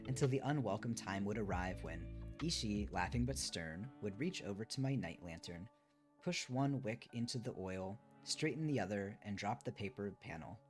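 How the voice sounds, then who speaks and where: A man reading a memoir aloud on a stream in a measured, careful storytelling delivery. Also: on the low side. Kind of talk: live read-aloud of a memoir, with casual asides. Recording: music behind the voice. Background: guitar music.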